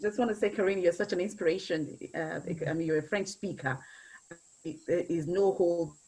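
A woman's voice: fairly low pitch, moderate pace, dark tone, husky, poor audio. A woman speaking on a video call panel through earphones from a small room. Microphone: earphone headset.

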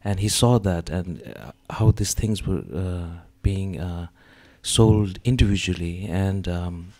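A man with a lowish voice speaks on a panel before a seated gallery audience. He talks haltingly through a handheld mic, full of "uh" and "um". He sounds like a man in his thirties. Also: darkish tone. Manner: hesitant, many fillers. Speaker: panel artist. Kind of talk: panel discussion.

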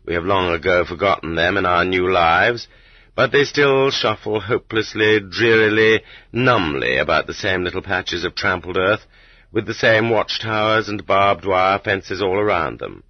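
Husky low-voiced male audiobook narrator. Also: no echo. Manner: measured, dramatic delivery with rhetorical emphasis. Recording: slightly muffled, dull cassette audiobook.

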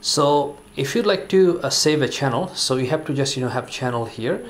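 A man's voice: medium pitch, normal speed. A man giving a casual hands-on review at a table indoors. He talks loosely, with plenty of "you know" and "uh" fillers. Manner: relaxed, filler-heavy, says "you know" often. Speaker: product reviewer. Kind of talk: casual hands-on product demonstration.

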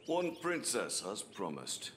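An actor playing a scheming, mercenary squire, wry and given to exaggerated play-acting. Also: male voice, on the low side, wildly swooping pitch, slow pace, exaggerated voice. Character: scheming, mercenary squire humoring his master. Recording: loud background noise and ambience.

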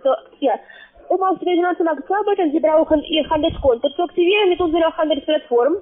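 Female call-center agent, high voice, foreign accent, non-native broken phrasing, halting scripted pitch, tinny phone audio. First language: not German. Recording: tinny, narrowband phone line.

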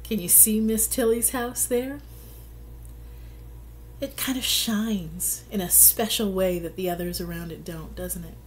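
A middle-aged woman reading a children's picture book aloud, with expressive, deliberate storytelling and drawn-out phrases. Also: medium pitch, a little hiss or hum. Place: small plain room indoors.

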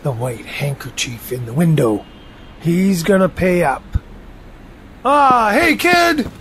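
A man's voice: a little high, sing-song, takes it slowly, fairly bright tone, a little hiss or hum, exaggerated voice. A man playing a brusque gangster henchman in an audio drama. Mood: gruff, pleased.

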